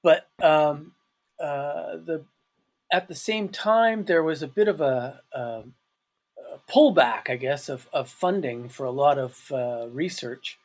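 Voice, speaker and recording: A man, a guest on a podcast, talking on a call from his office in a halting way, full of restarts. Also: fairly high pitch. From Canada. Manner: halting, with restarts and "you know, like". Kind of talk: casual interview on a call.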